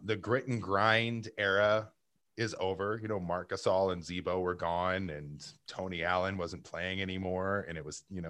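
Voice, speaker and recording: Deep-voiced joking man podcast co-host. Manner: playful, self-mocking, animated analysis. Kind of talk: casual sports podcast chat.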